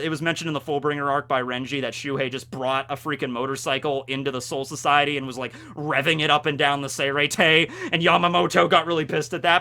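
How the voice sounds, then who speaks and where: A man hosting a video, talking to viewers in a casual, slangy, animated way like a fan giving commentary. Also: on the high side. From United States. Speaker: video host reviewing a light novel.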